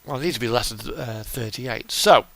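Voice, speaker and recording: A man's voice: mid-range voice, normal speed, creaky, a bit bright. A man giving casual live commentary as he streams a game, chatting and thinking aloud.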